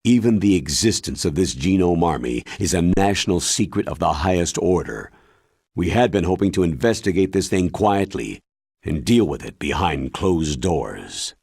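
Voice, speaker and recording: A man playing a grave, authoritative military commander in a video game, briefing in a deliberate, emphatic way with a husky voice. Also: deep voice, expressive, darkish tone, no room sound, clean studio sound, full character voice. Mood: measured, explanatory. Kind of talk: video game cutscene acting.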